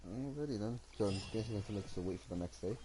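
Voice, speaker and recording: Deep-voiced casual male game streamer. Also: rapid-fire, noisy background. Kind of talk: casual gameplay commentary. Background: game music.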